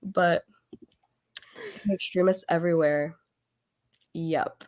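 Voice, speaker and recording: A woman hosting a casual book club chat over a video call, losing her voice as she gets over an illness, chatty and quick to laugh. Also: fairly low pitch, steady tone, a little mellow. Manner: chatty, laughs often, trails off.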